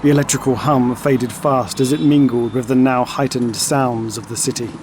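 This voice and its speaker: A man giving scripted, dramatic narration for an audio story in a measured way. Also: mid-range voice, steady tone, noisy background. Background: music and sound effects.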